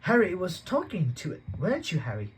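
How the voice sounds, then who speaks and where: A man voicing a shrill, excited schoolboy who yells at the top of his voice. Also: brisk, slight background noise, low-quality recording. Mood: tattling. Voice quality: shrill, shouting.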